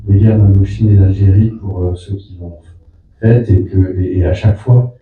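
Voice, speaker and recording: A film director, a man, reflecting slowly in an interview with many pauses and repeated words. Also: low voice, steady tone. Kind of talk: press interview. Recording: tinny echoey room from far off.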